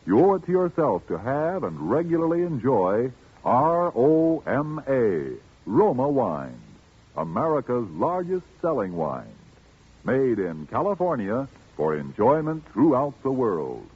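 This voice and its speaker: A man's voice: very animated, a little slow. A man reading a persuasive commercial in an old radio broadcast. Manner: smooth, persuasive sales pitch. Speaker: commercial announcer. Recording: dull 1940s radio recording.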